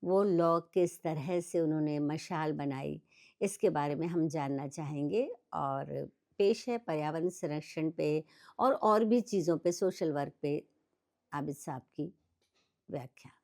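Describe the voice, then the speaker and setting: A woman's voice: low-pitched, unhurried, darkish tone. A woman from India hosting a podcast, interviewing her guest in a conversational style. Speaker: podcast host.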